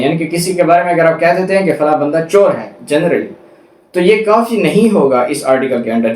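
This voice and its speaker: A man lecturing on law, reading legal text aloud and then explaining it step by step in a didactic way. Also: on the high side. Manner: didactic, rephrases and repeats points step by step. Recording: roomy echo, distant microphone.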